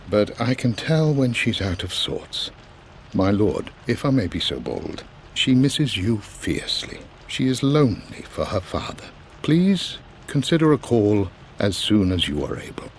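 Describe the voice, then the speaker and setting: Courteous British butler, expressive delivery. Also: male voice, mid-range voice, a little hiss or hum. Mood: fond, wry, then respectful pleading. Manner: formal, courteous, measured. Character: loyal, patient elderly English butler of an aristocratic household. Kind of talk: dramatic voice acting in a video game.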